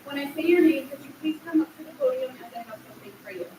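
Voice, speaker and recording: A woman's voice: high-pitched, lively intonation, very fast. A woman in her thirties reading a sincere, emotional graduation speech from a podium. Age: 30s. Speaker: graduating adult student giving a speech. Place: large echoey hall at a graduation ceremony. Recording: echoey hall, distant mic, faint noise.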